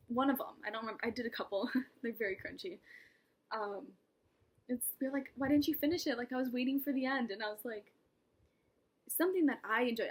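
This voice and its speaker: A young woman with a slightly high, breathy voice chats casually to her camera. She rambles and mocks herself, often dropping into whispered asides between laughs. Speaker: vlogger. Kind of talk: casual vlog monologue.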